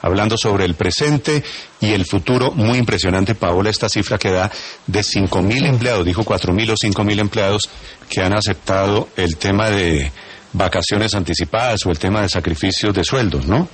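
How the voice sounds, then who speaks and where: A man hosting a morning news radio show, interviewing in a brisk, formal manner. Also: lowish voice, husky. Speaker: radio host.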